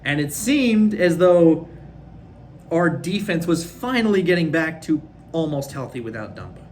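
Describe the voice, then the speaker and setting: A young man from Minnesota hosting a casual fan recap, rambling and excitable, his voice a bit worn from screaming. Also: fairly high pitch, a little slow, slight background noise. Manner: rambling, excitable, lots of fillers. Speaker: fan podcast host. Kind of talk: casual solo recap of a hockey game.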